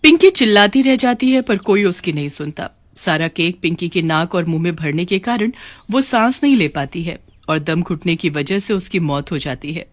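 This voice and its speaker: A woman narrating a scripted cartoon horror story in an even, storybook manner. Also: fairly low pitch, dry recording.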